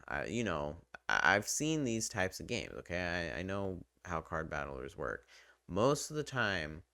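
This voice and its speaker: A man with a low, unhurried voice talks through a game review off the cuff. His delivery is conversational, rambling and openly opinionated. The sound is close and dry, with little room around him. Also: sharp tone, clear, resonant tone, no room sound. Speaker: gaming video reviewer. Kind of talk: casual unscripted game review commentary.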